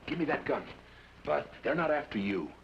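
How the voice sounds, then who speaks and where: A man playing a tough, steady ranger hero who speaks tersely and firmly, in an old radio drama. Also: fairly high pitch, normal speed, creaky. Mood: tense. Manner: terse and firm. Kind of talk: 1950s radio drama acting. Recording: muffled old radio broadcast with hiss.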